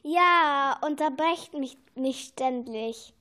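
Boastful little princessy worm lady woman doing it, really high voice, slow talker, kids radio play. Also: heavy background noise. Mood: indignant, showing off. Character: vain, know-it-all female bookworm calling herself Lady Rapunzel.

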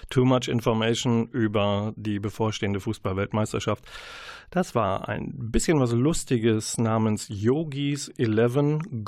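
A man's voice: fairly low pitch, dry recording, clean studio sound. A man hosting a radio book show in a chatty, playful magazine style, addressing the listeners directly. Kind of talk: chatty, lightly humorous magazine-show presenting. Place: radio studio.

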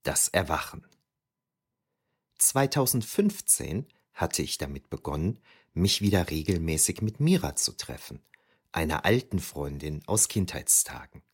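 Actor as deep, husky reflective historian. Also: male voice, sing-song, measured pace, exaggerated voice. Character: reflective middle-aged historian father reading his notebook memoir. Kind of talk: scripted audio drama narration.

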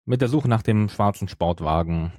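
A man's voice: lowish voice, moderate pace, a little mellow, no room sound, studio quality. A middle-aged man in casual podcast talk, often hesitating and correcting himself as he retells things. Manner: hesitant, self-correcting, many broken-off restarts. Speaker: podcast co-host.

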